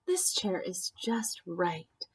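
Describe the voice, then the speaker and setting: A woman's voice: fairly low pitch, sing-song, drawn-out words, breathy edge, exaggerated voice. Woman as picky little girl, bold, fussy, exclamatory, children's storybook. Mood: satisfied. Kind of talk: children's storybook read-aloud.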